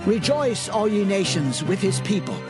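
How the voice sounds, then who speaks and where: A fervent prophet, high voice, chanting over loud music. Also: male voice, big pitch swings, normal speed. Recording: music clearly underneath.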